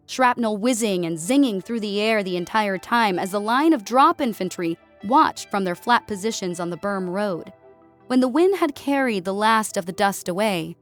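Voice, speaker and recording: A woman's voice: medium pitch, lively intonation, normal speed. A woman reading scripted narration as an audiobook narrator. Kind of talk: scripted narration of a sci-fi war story. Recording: music under the voice. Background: background music.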